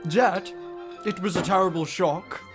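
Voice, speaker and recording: A man's voice: high-pitched, measured pace. With music and clinking crockery behind, an actor plays a fussy male café regular. He is pompous and particular, given to grumbling and gossip, in an audio drama. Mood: gossipy.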